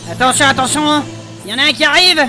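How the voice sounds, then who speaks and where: A high-pitched, jittery young adventurer played by a woman, male and protective of his companions. He speaks in blunt, colloquial bursts with hesitations and swearing. It is a fantasy audio drama with music clearly behind the voice. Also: quick pace, close to an everyday voice. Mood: urgent. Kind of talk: French audio fantasy comedy acting.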